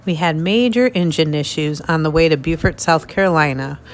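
A woman's voice: sits low, a little fast. A woman reading an evenly paced voice-over recap. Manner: even, read-aloud recap. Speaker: vlog narrator. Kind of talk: scripted voice-over narration. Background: soft music.

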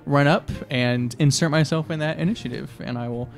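A man's voice: on the high side, brisk, creaky. A man playing a tabletop game, talking casually with the other players. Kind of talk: casual actual-play table talk. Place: indoor room, around a gaming table. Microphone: close podcast microphone on stand. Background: faint music.

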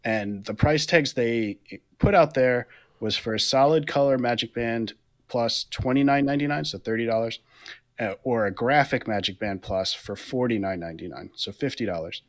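A man's voice: medium pitch, moderate pace. A man in his thirties co-hosting a podcast from home, talking things through in a chatty way over a headset. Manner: chatty, explaining with fillers. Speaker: podcast co-host. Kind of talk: casual podcast chat. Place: room at home. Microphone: headset on a video call.

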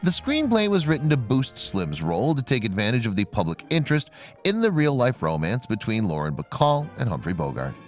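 A man reading scripted trivia narration in a measured, formal manner. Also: mid-range voice, huge pitch swings, faint music behind.

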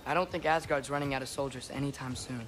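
A man's voice: medium pitch, fairly even intonation, fast, over music, lightly acted. A young man playing an earnest, curious teenage boy adventurer in a video game, with a casual, questioning manner. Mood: thoughtful. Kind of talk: video game dialogue acting.

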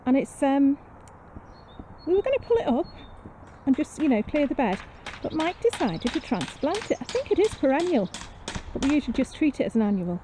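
A woman's voice: high-pitched, sing-song, fairly quick, mellow. A British woman giving a casual, rambling garden tour in a chatty, self-deprecating way, recorded on a handheld camera. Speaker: gardening vlogger. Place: allotment garden and greenhouse. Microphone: handheld camera's built-in microphone. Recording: noisy outdoor handheld camera audio.

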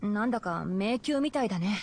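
A woman voicing a calm, knowledgeable young fox-eared male ranger in a Japanese anime game, explaining things in a measured way. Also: mid-range voice, a little hiss or hum, lightly acted. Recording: game music behind. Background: game music.

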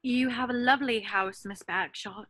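A woman's voice: on the high side, moderate pace, pushed and bright. A young woman reading an anxious, watchful teenage girl witch in an amateur table read, sounding wary and concerned. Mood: uneasy. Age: young adult. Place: indoors on a group video call. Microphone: video call.

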